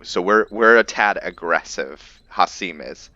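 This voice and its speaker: A man's voice: low voice, measured pace, sharp tone, dry recording, muffled recording. A man giving casual let's-play commentary, hesitant and rambling, with lots of fillers. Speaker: gameplay narrator.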